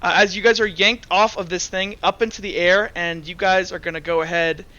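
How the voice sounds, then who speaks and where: Bright, high-voiced man running a game. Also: fairly even intonation, brisk, lo-fi sound. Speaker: game master. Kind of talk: narrating and running a tabletop roleplaying game.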